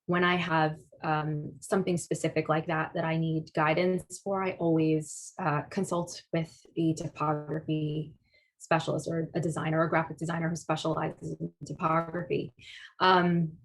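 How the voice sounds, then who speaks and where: A woman's voice: sits low, level tone, moderate pace, rough recording. A woman artist answering audience questions at a talk, thoughtful and hesitant, with many fillers and restarts. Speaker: guest speaker, artist.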